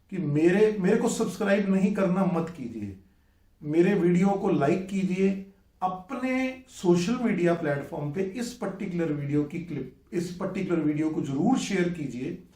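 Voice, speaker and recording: High-voiced insistent man in an echoey room. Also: warm tone. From India. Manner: insistent, repeats points emphatically; mixes English words into Hindi. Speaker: radio enthusiast video host. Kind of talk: impassioned direct-to-camera appeal.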